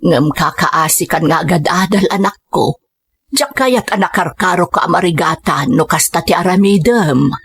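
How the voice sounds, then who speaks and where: A woman's voice: fairly low pitch, normal speed, husky. A woman playing a loving but worried middle-aged mother in an audio drama, tender and anxious.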